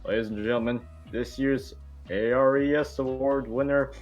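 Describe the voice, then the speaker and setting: A man's voice: mid-range voice, steady tone, normal speed, a little mellow, dull audio, soft background music, natural voice. A man reading the part of an earnest military officer and exasperated loyal friend in an amateur table read. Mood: formal, proud.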